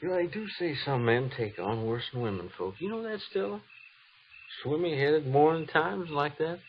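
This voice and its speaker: An actor playing a tender-hearted young frontier trooper and anxious husband in an old radio drama, with folksy rural American speech. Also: male voice, fairly high pitch, lively intonation, normal speed. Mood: concerned. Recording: dull, noisy old radio recording.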